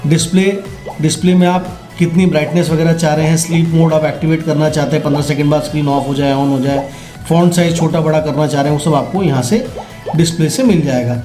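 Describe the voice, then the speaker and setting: A man's voice: on the high side, steady tone, fast talker, dark tone. A man with an Indian accent giving a casual, explanatory product demonstration, often checking in with listeners. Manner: explanatory, checks in with listeners using tag questions. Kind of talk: casual instructional product demo. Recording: roomy echo, faint music behind.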